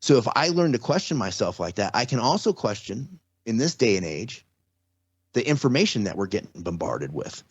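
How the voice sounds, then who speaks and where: A man's voice: on the low side, big pitch swings, normal speed, slightly rough, no echo. A man in his forties or fifties, a podcast guest, explaining ideas earnestly in casual talk with many restarts. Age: 40s or 50s. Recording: slightly dull lo-fi audio.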